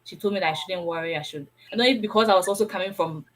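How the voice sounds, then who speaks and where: A woman's voice: lowish voice, racing, a bit bright, poor audio. A young woman from Ghana with a Ghanaian accent, recounting her experience as a student guest in a casual, emphatic way.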